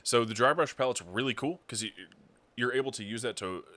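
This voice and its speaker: A man co-hosting a casual livestream, explaining a giveaway in a relaxed way with fillers. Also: mid-range voice, brisk. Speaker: stream co-host.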